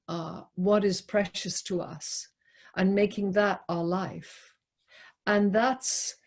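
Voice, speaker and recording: Slow, halting woman leading a discussion. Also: medium pitch, a little mellow. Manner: halting, deliberate, pausing between short phrases. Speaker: poetry reader and discussion leader. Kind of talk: reflective spoken commentary, unscripted teaching. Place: online video call talk.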